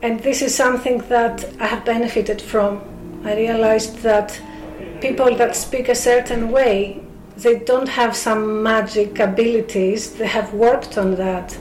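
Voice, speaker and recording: A woman's voice: a little high, fairly even intonation, darkish tone. Greek-accented woman, small echoey room. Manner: careful, measured explanations with frequent fillers. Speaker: speakers club member. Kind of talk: radio interview guest.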